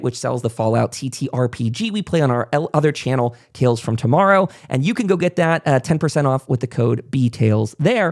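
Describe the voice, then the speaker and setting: A man's voice: on the high side, darkish tone. Chatty male podcast host. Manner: chatty, informal presenter talk. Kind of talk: casual podcast intro and interview.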